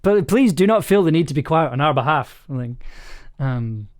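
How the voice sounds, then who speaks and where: Guy hosting a chatty stream, a bit high voiced, stumbles over himself and giggles as he goes. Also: lively intonation. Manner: hesitant, halting, self-interrupting; giggly, prone to chuckling mid-sentence. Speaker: streaming host and audio drama maker. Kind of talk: casual livestream chat.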